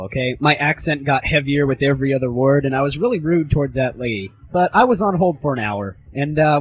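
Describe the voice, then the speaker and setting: A man's voice: a little high, a little hiss or hum. A man talking casually as a comedic podcast host, in an irreverent, sweary, slangy manner. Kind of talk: casual comedic show talk. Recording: muffled phone-like lo-fi audio.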